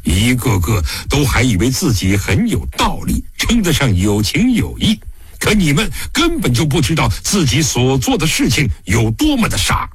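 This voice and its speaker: A man's voice: mid-range voice, wildly swooping pitch, unhurried, slightly rough, no room sound, heavily acted. A man narrating an audiobook, voicing a stern, fatherly investigating magistrate with a weighty, admonishing manner. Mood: angry.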